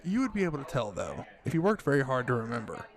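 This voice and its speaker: A man acting a cautious, fretful cafe worker with plenty of vocal fry. He sounds earnest and insistent, in audio drama style. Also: mid-range voice, huge pitch swings, quick pace. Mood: worried, insistent. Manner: earnest, pressing. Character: worried, cautious male cafe co-worker and minder.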